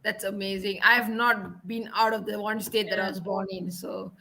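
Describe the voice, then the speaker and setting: Bright-voiced young woman hosting. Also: mid-range voice, fairly even intonation, normal speed, gravelly, poor audio. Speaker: host. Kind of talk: online interview.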